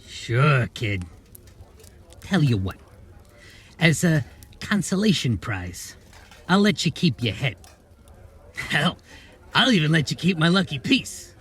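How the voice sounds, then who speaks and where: An actor playing a slimy, smug crime boss in a noir radio drama, taunting in slangy gangster talk. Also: male voice, on the high side, big pitch swings, slow, pauses often, a bit bright, husky, full character voice.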